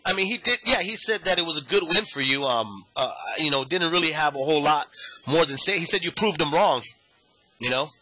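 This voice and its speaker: A man's voice: fairly high pitch, lively intonation, fairly quick, sharp tone. A man asking interview questions in a brief, conversational way.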